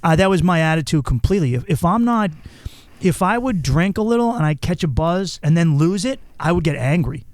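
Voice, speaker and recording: A candid male podcast host swears freely and acts out the lines of people in his stories. His voice sits a little higher than most men's. The recording is dry and close, with almost no room sound. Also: expressive, brisk, a little mellow, dry recording. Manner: candid, profane, storytelling with quoted asides. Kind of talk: casual conversational interview.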